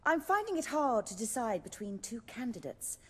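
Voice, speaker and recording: A woman playing a refined, self-assured stage actress and director in a video game, speaking in a measured, articulate, theatrical way. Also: on the high side, sing-song, breathy edge, big-room sound. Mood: businesslike. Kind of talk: video game dialogue acting.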